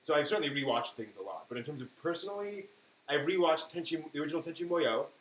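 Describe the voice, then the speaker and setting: A man hosting a live stream in casual, rambling chat, thinking aloud haltingly and leaning on key words. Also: on the high side, expressive, a bit bright. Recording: echoey room with faint noise.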